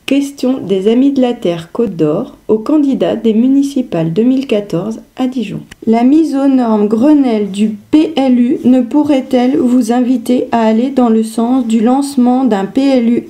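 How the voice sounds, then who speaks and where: A woman's voice: a little high, mellow. A woman reading a scripted question aloud as a narrator. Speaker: question reader.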